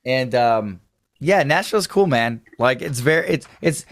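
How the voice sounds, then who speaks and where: A man's voice: mid-range voice, lively intonation, a little slow, no room sound, clean studio sound. A man, chatting casually as a drummer guest on a video call, talkative and friendly with many fillers and restarts. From Houston, Texas. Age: late 20s. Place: home music studio room.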